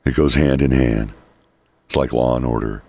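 A male talk radio host with a raspy voice, giving opinionated political commentary. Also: a bass voice, fairly even intonation, moderate pace, darkish tone, no echo. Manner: opinionated, reads quotes then rebuts them. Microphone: studio microphone.

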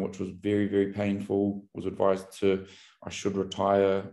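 New man with a low flat voice. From New Zealand. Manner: reflective, candid, many ums and restarts. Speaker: podcast guest, brand founder. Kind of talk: casual interview storytelling.

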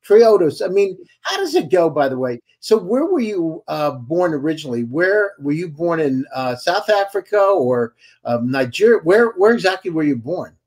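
A man's voice: on the high side, very animated, moderate pace, darkish tone. A man hosting an online show, introducing his guest with enthusiastic praise and asking rambling questions. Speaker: host and company CEO.

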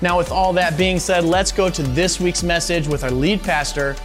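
A man's voice: sits high, steady tone, normal speed. A man giving a friendly, scripted welcome announcement for a church. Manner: friendly, smooth, scripted delivery. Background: soft music.

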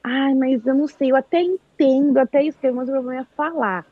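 Husky animated Brazilian woman chatting live. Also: sits high, a little fast, no room sound, narrow, tinny audio. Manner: animated storyteller, acts out quoted speech. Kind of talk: casual live chat.

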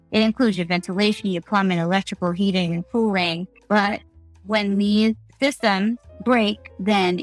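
A woman's voice: mid-range voice, poor audio. Upbeat chatty woman podcast host. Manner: upbeat, chatty, laughs easily. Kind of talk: casual podcast chat.

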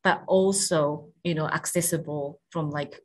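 A woman's voice: low voice, fairly even intonation, measured pace. A woman with a Japanese accent, a native Japanese speaker, talking as an interview guest in a thoughtful way, with many "you know" and "like" fillers. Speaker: guest, space education director. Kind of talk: casual interview conversation.

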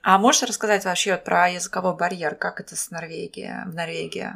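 A woman's voice: lowish voice, steady tone, quick pace, creaky, a bit bright. A woman hosting a podcast interview, asking long questions and giving frequent backchannel nods. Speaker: podcast host. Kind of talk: casual interview chat.

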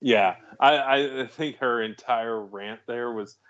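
A man's voice: medium pitch, takes it slowly, fairly bright tone. Rambling man on a lo-fi recording. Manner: rambling, self-interrupting, laughs at his own jokes. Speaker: podcast co-host. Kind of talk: casual chat about a film.

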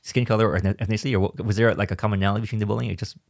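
A man from Vietnam hosting a podcast, asking questions in a halting way and often repeating words. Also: fairly low pitch, fairly even intonation, gravelly, a little mellow, no echo, clean studio sound. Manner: stammering, repeats words while thinking. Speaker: podcast host. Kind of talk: conversational interview.

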